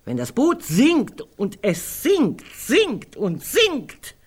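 A woman's voice: a little high, wildly swooping pitch, extremely slow, husky, full character voice. An actress playing a blunt, sardonic older woman in a German radio drama, with a dry, needling manner. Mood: bitter, sardonic.

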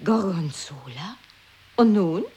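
A woman's voice: on the low side, lively intonation, slow pace, dark tone. An inquisitive woman in a radio play, her mid-range voice swinging up and down. She presses for news with alarmed questions. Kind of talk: 1980s radio play acting.

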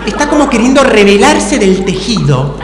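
A man with an Argentine accent playing an excitable, chatty young woman lab worker in improv theatre comedy. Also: high-pitched, normal speed, crowd noise, voice pushed up. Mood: excited, conspiratorial. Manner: chatty, effusive, teasing. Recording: echoey hall with audience, off-mic.

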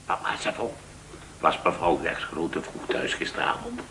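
An actor playing a smug, teasing office clerk in a Dutch radio drama, mock-polite and insinuating. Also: male voice, low-pitched, huge pitch swings, moderate pace, gravelly, sharp tone, a little hiss or hum, exaggerated voice. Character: needling male office clerk, smugly teasing a colleague.

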